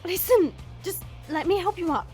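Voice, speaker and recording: Woman as cocky, very high-voiced immortal fighter. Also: sing-song, a little slow, faint music behind, heavily acted. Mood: regretful, flustered. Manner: casual, taunting, slangy. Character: cocky, teasing immortal female fighter, playful yet reluctant to hurt. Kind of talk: dramatic audio roleplay acting.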